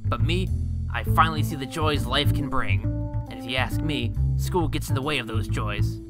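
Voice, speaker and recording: A man voicing melodramatic, excitable teenage slacker boys in an amateur animated comedy. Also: mid-range voice, normal speed, over loud music, close to an everyday voice. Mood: grandly earnest. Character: excitable fourteen-year-old cartoon slacker boys and their friend.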